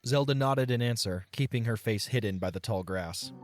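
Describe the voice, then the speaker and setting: A man's voice: mid-range voice, normal speed, soft background music. A man narrating scripted fiction as the storyteller of an audio play.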